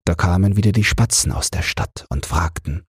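A man narrating a bedtime fairy tale audiobook in a calm, measured, husky, breathy voice. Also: sits low, no echo.

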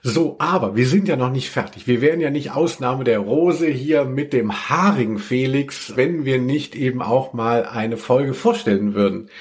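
A man hosts a podcast in a medium-pitched voice. He talks casually and discursively, often halting as he thinks aloud. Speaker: podcast host.

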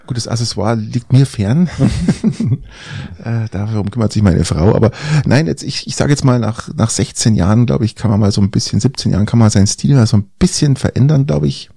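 Husky, congested man chatting. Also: fairly low pitch, brisk, dark tone, no echo, studio quality. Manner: rambling, hesitant with many fillers. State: congested with a cold. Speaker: podcast co-host. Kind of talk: casual chat.

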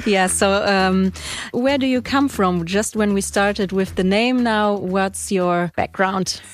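A woman's voice: mid-range voice, takes it slowly. A woman hosting an interview podcast, asking questions in a friendly way and affirming her guest often. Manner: friendly, frequent affirming mm-hmms. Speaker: podcast host.

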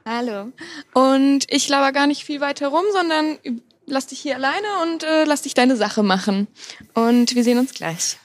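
A woman's voice: high-pitched, normal speed, no room sound. A woman moderator introducing speakers in a casual, chatty style. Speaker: event moderator. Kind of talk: casual spoken stage introduction.

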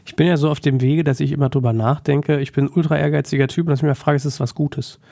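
A man's voice: fairly high pitch, fairly even intonation, quick pace, creaky, mellow. A man hosting a casual interview, asking informal, personal questions in a conversational way. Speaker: interview host.

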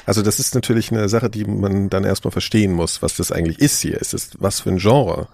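A man speaks quickly in a fairly low voice. He gives casual impressions in colloquial phrasing, often checking for agreement. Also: vocal fry, a little mellow. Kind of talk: casual chat, giving impressions.

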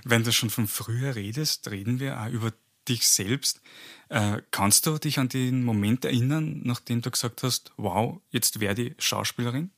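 A man's voice: mid-range voice, moderate pace, a bit bright. A man hosting an interview, asking short, curious follow-up questions in a casual tone. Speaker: podcast host.

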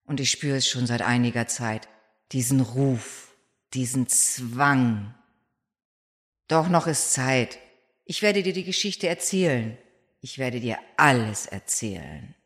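An actor voicing a brooding, haunted vampire who confesses their story in a halting, dramatic monologue for an audio drama. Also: mid-range voice, natural voice. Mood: confessional.

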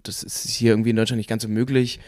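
A young man from Cologne, a guest actor in a relaxed podcast chat, talking loosely with lots of hesitant fillers and easy laughs. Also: fairly low pitch, racing, no echo, studio quality. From Cologne, Germany. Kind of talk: casual podcast interview.

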